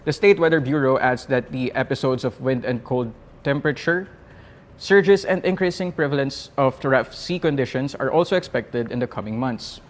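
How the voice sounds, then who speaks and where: A man's voice: medium pitch, lively intonation, moderate pace. Male news presenter, Filipino accent, formal scripted delivery, mid-range voice. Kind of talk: scripted news roundup narration.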